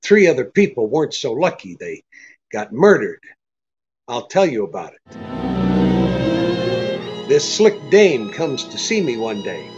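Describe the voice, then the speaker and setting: An actor playing a hard-boiled, wry private detective with laconic, slangy delivery in a 1940s-style radio drama. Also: male voice, medium pitch, very animated, a little slow, long pauses, dull audio, over loud music. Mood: wry, matter-of-fact.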